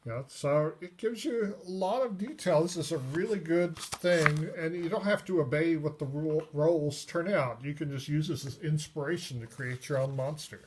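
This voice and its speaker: A man giving a casual, unscripted review of a tabletop game book, pausing often mid-sentence. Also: fairly high pitch. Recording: noisy recording with clicks.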